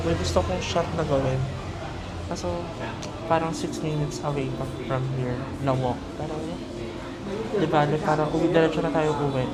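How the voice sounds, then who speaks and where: A man with a Filipino accent chatting casually and playfully to camera as a travel vlogger. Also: fairly high pitch. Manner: playful, jokey, informal. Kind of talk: casual chat to camera, mixing Tagalog and English. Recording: noisy city ambience, handheld vlog camera. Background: street and cafe noise, traffic.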